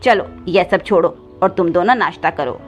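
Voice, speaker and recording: A woman's voice: on the low side, normal speed, natural voice. Woman as a sly, cruel crow stepfather. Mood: falsely sweet. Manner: scolding, sly. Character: cruel, scheming, wheedling male crow stepfather. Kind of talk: cartoon moral story voice acting. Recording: slightly dull audio with background music.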